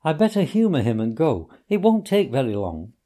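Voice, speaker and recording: A bluff, hearty water rat speaks with cheerful bluntness, as a man puts him on. His voice bounces up and down in lively swings. It comes from a storybook reading. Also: medium pitch, moderate pace. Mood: reasoning.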